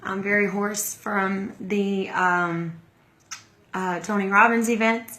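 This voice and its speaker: Hoarse woman chatting on a livestream. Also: medium pitch, steady tone, slow, sharp tone. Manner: chatty, greets viewers by name. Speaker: livestream host. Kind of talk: casual livestream talk to viewers.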